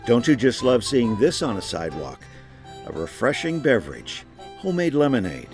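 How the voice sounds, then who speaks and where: A male narrator tells a documentary story in a folksy, conversational way. His medium-pitched voice moves with lots of lively inflection. Guitar music plays clearly underneath. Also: normal speed. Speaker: narrator and host.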